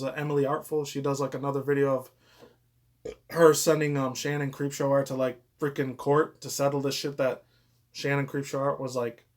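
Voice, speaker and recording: A young man giving rambling, casual commentary as a vlogger, slangy, digressive and full of swearing. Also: on the high side.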